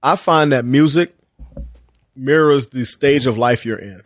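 A man in his forties talking as a podcast guest in casual chat, animated and swearing freely, often repeating himself. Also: medium pitch, a little slow, no room sound, clean studio sound. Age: 40s. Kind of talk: casual conversation.

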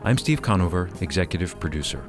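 A man's voice: low voice, lively intonation, fast talker, over music. A man speaking as a radio announcer, delivering scripted program lines. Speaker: radio announcer and executive producer. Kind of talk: scripted radio outro and interview prompt.

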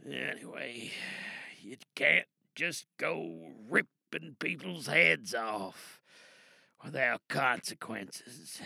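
A man playing a dying, bitter rebel in an audio drama, with a gravelly voice and weary, halting, pained delivery. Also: a little high, bright, forceful tone, no room sound, clean studio sound, exaggerated voice. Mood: grim anger. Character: dying, bitter, angry cyberpunk rebel recording a last message.

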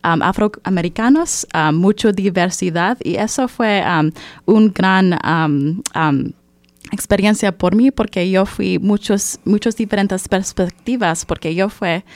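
A young woman student talks in a relaxed group conversation, her voice gravelly with vocal fry. She hesitates constantly, filling gaps with soft fillers and dropping into English now and then. The sound is dry and close. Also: lowish voice, dry recording. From United States. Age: young adult. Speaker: university student. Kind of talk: casual group discussion.

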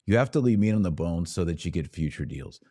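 A man's voice: low-pitched, animated, quick pace, dark tone. A man hosting a podcast, explaining and reacting to political clips in an informal, emphatic way. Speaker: podcast host.

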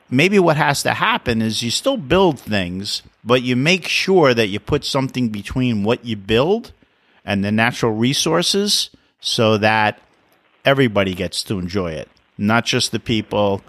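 A man's voice: on the low side, fairly bright tone, no room sound. Upbeat male podcast host, dry sound. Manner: upbeat, enthusiastic. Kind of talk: casual interview podcast.

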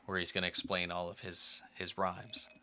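A man chatting casually as a podcast guest, explaining things haltingly as he thinks aloud. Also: low-pitched, completely flat delivery, bright, forceful tone. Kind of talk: casual chat about rap music.

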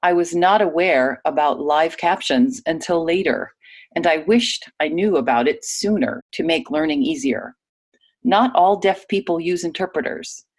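Low-voiced woman reading interview answers. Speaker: voice actor reading a guest's typed answers. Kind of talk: read-aloud interview answers.

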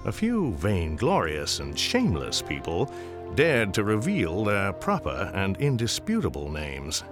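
An actor narrating a radio storybook tale of the Old West as a wry, courtly storyteller. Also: male voice, deep voice, wildly swooping pitch, unhurried, exaggerated voice. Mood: wry storytelling. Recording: music behind the voice.

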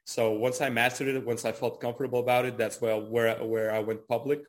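A man's voice: mid-range voice, little pitch movement, fairly quick. Casual man in his early twenties. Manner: repeats words, frequent "kinda" and "you know". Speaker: trader and trading educator being interviewed. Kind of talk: casual interview answers.

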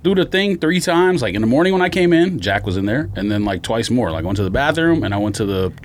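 A man chats casually on a podcast with a lively, swinging pitch. He is informal and teasing, happy to argue a point. Some background noise sits behind his voice. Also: fast talker. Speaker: podcast co-host or guest. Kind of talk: casual chat, bantering. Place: podcast recording room, in studio. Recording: clear background room noise.

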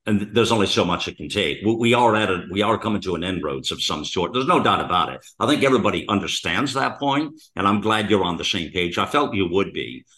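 A man's voice: deep voice, expressive, fast, hardly any pauses, fairly bright tone. An older American man hosting a political talk show, conversational and emphatic, repeating phrases for weight. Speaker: talk radio host.

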